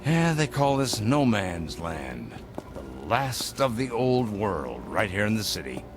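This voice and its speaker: An actor playing an eccentric older gentleman, a secretive mentor with a grandiloquent, fatherly manner in a theatrical radio drama. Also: lowish voice, big pitch swings, slow pace, music in the background. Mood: genial, knowing. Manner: grandiloquent, avuncular, emphatic. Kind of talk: theatrical audio drama acting.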